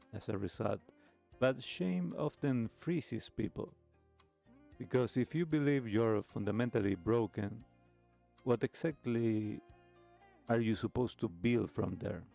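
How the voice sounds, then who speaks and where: A man with a low, creaky voice hosts a reflective talk segment. He speaks in short, measured clauses with frequent pauses and a mellow, soft-edged tone. Guitar music plays behind him. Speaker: host of a live retro music talk show. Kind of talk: reflective commentary, reacting to a video.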